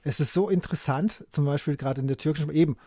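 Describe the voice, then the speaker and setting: A man's voice: on the high side, rapid-fire, dark tone, no echo. An author from Germany with Turkish as his mother tongue, a man talking emphatically as a guest in a dry studio-like interview. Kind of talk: conversational interview answers.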